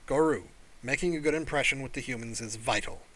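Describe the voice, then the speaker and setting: A man voicing a shrewd alien clan father, a composed diplomat who speaks in a calm, affable, measured way. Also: medium pitch, low-quality recording. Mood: urgent, calculating.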